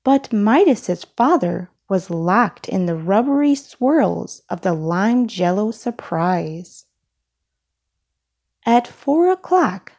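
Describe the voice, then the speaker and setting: A woman reads a children's picture book aloud with expressive care. Her pace is very unhurried, and her voice is soft and mellow with some fry in it. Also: medium pitch. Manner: deliberate, expressive storytelling. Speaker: storybook reader.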